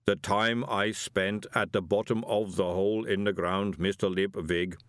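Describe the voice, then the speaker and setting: A man voicing an ancient, huge golem servant in an audiobook, with a rumbling voice and a placid, formal, deliberate manner. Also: fairly even intonation, dry recording, studio quality, natural voice. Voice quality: deep rumbling.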